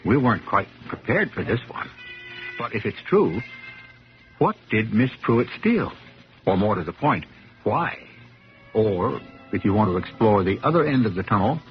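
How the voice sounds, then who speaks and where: A man hosting a 1970s radio drama, narrating in a deliberate, theatrical way full of rhetorical questions. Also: fairly low pitch. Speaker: host and narrator. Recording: muffled 1970s radio broadcast.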